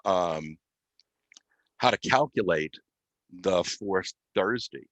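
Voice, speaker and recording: A man giving an unscripted software demonstration as a guest, explaining step by step with halting, mid-phrase pauses. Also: low-pitched, lively intonation, no echo. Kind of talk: unscripted software demo walkthrough.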